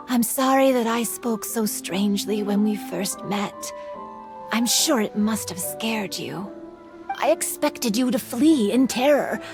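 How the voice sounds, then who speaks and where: Slow, gentle yokai girl. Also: on the high side. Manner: soft, hesitant, sincere, with breathy pauses. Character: gentle wistful young female yokai in human form. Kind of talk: anime game voice acting, dramatic monologue. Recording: soft music behind the voice.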